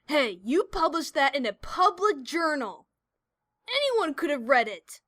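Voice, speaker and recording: A woman's voice: high voice, sing-song, measured pace, bright, forceful tone, no room sound, clean studio sound, heavily acted. A woman voicing a brash, stubborn female pegasus adventurer in an audio drama, with a cocky, defensive delivery. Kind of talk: cartoon-like audio drama character voice.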